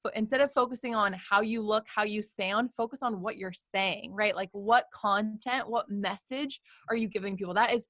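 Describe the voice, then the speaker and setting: A woman's voice: mid-range voice, normal speed, hardly any pauses, fairly bright tone, dry recording. A woman hosting a podcast as a coach, chatting in an upbeat, encouraging way and often checking in with "right?". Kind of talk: casual interview chat. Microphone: video call.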